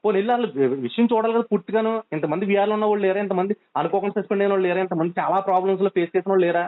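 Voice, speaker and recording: A man's voice: sits high, racing. A man with a coastal Andhra Telugu accent, pleading urgently and insistently on a phone call, admonishing and repeating himself. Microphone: telephone. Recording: tinny narrowband phone call.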